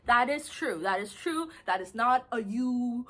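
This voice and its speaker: A young woman from India, a vlogger chatting casually to camera, animated and chatty, laughing often and calling people "bro". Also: fairly high pitch, measured pace. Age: young adult.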